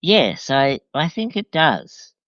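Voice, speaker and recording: Clear-voiced man, slightly dull dry recording. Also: on the high side, sing-song, takes it slowly, no room sound. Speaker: podcast guest. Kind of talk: casual conversational book review.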